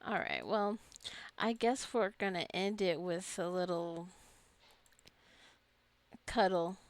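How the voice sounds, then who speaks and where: Female gamer, slightly low voice, chatty and rambling, musing aloud through a casual playthrough. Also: animated, slow pace, no room sound. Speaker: gamer narrating own gameplay. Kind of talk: casual let's play commentary.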